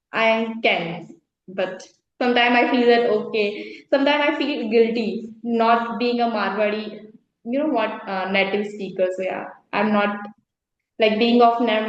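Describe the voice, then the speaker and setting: A woman's voice: medium pitch, highs cut off, a little hiss or hum. Indian woman on a roomy video call. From Rajasthan, India. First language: Hindi. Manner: halting, repetitive, laughs easily. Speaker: guest learner. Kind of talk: casual conversation practice.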